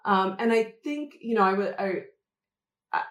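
A woman who answers interview questions thoughtfully and hesitantly, pausing often to choose her words. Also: mid-range voice, a bit bright. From Canada. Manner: thoughtful, hesitant, many filler pauses. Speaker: interview guest, development consultant. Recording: roomy echoey room.

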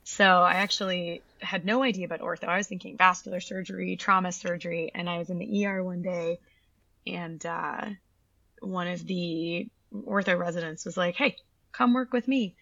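Enthusiastic woman surgeon with gravelly lows. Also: steady tone, normal speed, sharp tone, dry recording, dull audio. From Canada. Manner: enthusiastic, chatty storytelling. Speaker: pediatric orthopedic surgeon. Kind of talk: interview answers.

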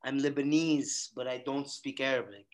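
A young man who grew up in Nigeria, talking casually as an interview guest, animated and often restarting his sentences. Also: mid-range voice, normal speed. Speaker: guest musician.

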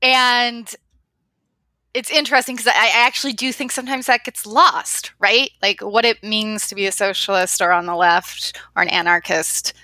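A woman's voice: mid-range voice, steady tone, normal speed, sharp tone, dry recording. A woman hosting a podcast interview, chatty and enthusiastic with playful asides. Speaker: podcast host. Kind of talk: casual interview chat.

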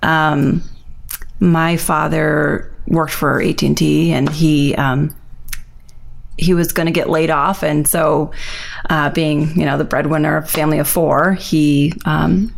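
A woman from New York sharing her faith story as an interview guest, hesitant and full of pauses. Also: sits low, level tone, gravelly. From New York, USA. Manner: hesitant, with frequent ums and pauses.

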